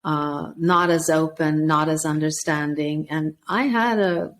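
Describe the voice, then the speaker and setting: A woman's voice: low voice, fairly even intonation, measured pace. A woman from Sweden, a podcast guest and author, telling her life story in an earnest, thoughtful way. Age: 40s or older. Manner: earnest, thoughtful, with frequent restarts. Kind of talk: reflective personal storytelling.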